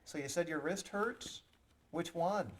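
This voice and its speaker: A man's voice: a little high, unhurried, a bit bright, a little hiss or hum. A man working as an EMT, talking a child patient through each step simply and reassuringly. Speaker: EMT with a rescue squad.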